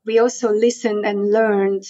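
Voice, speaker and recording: A woman's voice: mid-range voice, level tone, measured pace, warm tone, dull audio. A woman in her forties from Hungary, speaking English with a Hungarian accent, chatting as a guest and laughing often. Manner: chatty, laughs often, fillers like "you know". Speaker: guest, fashion company CEO. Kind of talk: casual interview storytelling.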